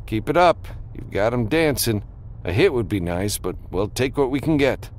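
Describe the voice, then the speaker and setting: Unflappable male pilot read by a man, dry, instructive, animated pitch, sci-fi audiobook. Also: normal speed, slight background noise, close to an everyday voice. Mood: encouraging. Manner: dry, matter-of-fact, instructing. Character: gruff, unflappable male spaceship pilot, seasoned freelancer.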